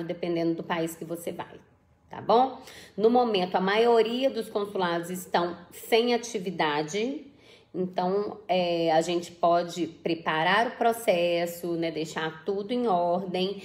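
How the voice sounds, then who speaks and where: A woman's voice: fairly low pitch, steady tone, unhurried. Chatty woman answering viewer questions. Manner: chatty, reads questions aloud and answers with many tag questions. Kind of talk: casual live Q&A.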